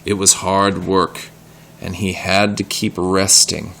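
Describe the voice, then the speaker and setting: A low-voiced man reads a story aloud with expression and patiently explains words as he goes. His voice has a gravelly fry, and there is some background noise on the recording. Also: little pitch movement, slow pace, a bit bright.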